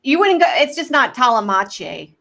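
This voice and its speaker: A young American woman from Houston chats casually over a video call. Her voice is soft-spoken and bright, sitting a bit high. She talks loosely and animatedly, full of fillers. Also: big pitch swings, normal speed, vocal fry. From Houston, United States. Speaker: comedian guest. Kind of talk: casual chat.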